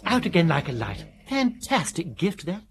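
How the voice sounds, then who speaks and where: A woman's voice: lowish voice, sing-song, normal speed, a little hiss or hum. A woman playing a languid, flippant idler in a British radio drama, offhand and droll. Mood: droll, idle. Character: languid, flippant upper-class idler, lazily amused.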